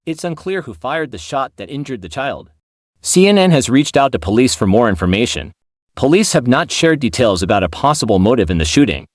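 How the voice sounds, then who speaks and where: A male news narrator delivers a scripted report. His medium-pitched voice rises and falls in an animated way, and the recording is dry with hardly any room sound. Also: no echo.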